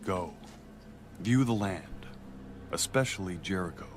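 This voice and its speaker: An actor playing a resolute military leader in a dramatized audio Bible, solemn and authoritative. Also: male voice, deep voice, a little slow, noisy background. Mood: solemn command. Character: resolute male military leader addressing his people. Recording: music under the voice.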